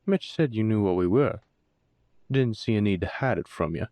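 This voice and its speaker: A man's voice: on the low side, lively intonation, moderate pace, warm tone, no room sound, close to an everyday voice. A man playing a sullen, sarcastic younger werewolf brother in an audio roleplay, snarky and grudging. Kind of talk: roleplay audio drama acting.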